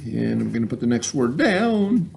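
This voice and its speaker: A man's voice: mid-range voice, very animated, normal speed, gravelly, darkish tone. A man teaching a remote reading lesson to children in an informal, instructional way, carefully sounding out letters and words. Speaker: elementary school teacher.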